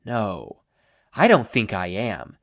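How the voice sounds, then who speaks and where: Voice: medium pitch, wildly swooping pitch, slow pace, a bit bright, full character voice. A podfic reader voicing a predatory lion-man hero mentor who goads and commands his student. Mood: challenging. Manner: commanding, goading.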